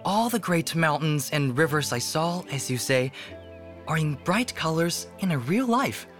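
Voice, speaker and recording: Radio drama: passionate teenage painter, deferential and very animated, music underneath. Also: male voice, on the high side, a little slow. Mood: earnest, deferential. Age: young adult. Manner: earnest, respectful. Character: eager, deferential seventeen-year-old court painter, passionate young man. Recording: music behind the voice.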